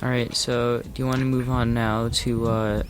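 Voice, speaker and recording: A man's voice: medium pitch, flat delivery, normal speed, faint music behind. A teenage boy co-hosting a casual podcast, speaking in an easygoing way and often laughing. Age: teens. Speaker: podcast co-host. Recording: clear background noise with clicks.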